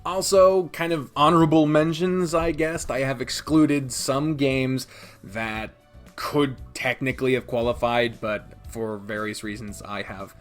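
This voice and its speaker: A man's voice: medium pitch, fairly even intonation, measured pace, soft background music. A man giving casual, opinionated countdown commentary in a sardonic, sweary way, often interrupting himself like a reviewer talking to his audience. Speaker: video reviewer and podcast host.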